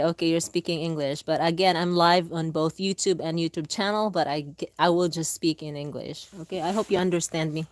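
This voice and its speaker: A woman hosting a casual live gardening stream, talking in a halting, chatty way with many restarts. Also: lowish voice, clean tone, no room sound, clean studio sound. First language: Filipino language. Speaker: gardening channel host. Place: indoors at home.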